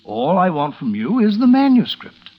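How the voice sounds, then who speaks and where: An actor playing a precise, politely menacing literary scholar in a 1940s radio drama. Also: male voice, sits high, wildly swooping pitch, moderate pace, full character voice. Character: scholarly, quietly menacing male blackmailer. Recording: dull, lo-fi old radio sound.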